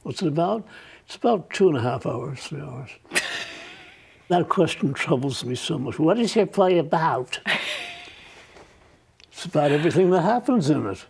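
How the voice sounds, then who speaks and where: A man being interviewed as a playwright, talking casually with a gravelly voice. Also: a little high, lively intonation, moderate pace, pauses often, darkish tone, slight background noise.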